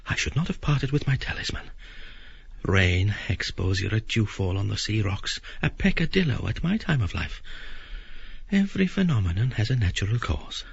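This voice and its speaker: A man playing a meek, submissive middle-aged character in an audiobook drama, speaking in florid, pompously wordy, halting phrases. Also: on the low side, big pitch swings, creaky, slightly rough, lo-fi sound, heavily acted. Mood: plaintive, wordy.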